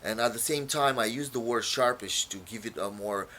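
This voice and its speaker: A man from Romania, whose first language is Romanian, explains his own poem in a halting way, full of fillers and repeated words. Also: medium pitch, bright, forceful tone, slight background noise. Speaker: poet.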